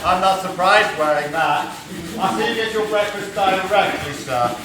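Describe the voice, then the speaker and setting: A man's voice: high-pitched, normal speed, natural voice. Man as a chatty pantomime dame, very echoey. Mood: flustered, deferential. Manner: coy, familiar, theatrical. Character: chatty, flirtatious pantomime dame, a deferential servant woman. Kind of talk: comic stage patter. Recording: very echoey, distant, noisy stage recording.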